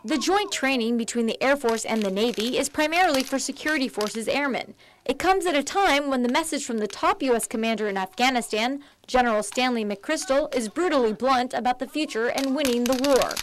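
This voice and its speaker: Female TV news reporter, high register, scripted reporting, faint background noise. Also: brisk.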